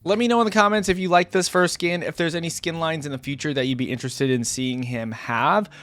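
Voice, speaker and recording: A man's voice: a little high, quick pace, fairly bright tone. A man hosting a reaction video, talking in a casual, unscripted, rambling conversational way. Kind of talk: casual, unscripted reaction commentary to camera or screen.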